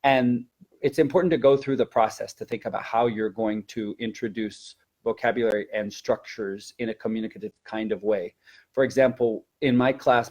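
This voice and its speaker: A man's voice: medium pitch, a little mellow. A man speaking as a language teacher in an informal instructional webinar, explaining and repeating words for emphasis.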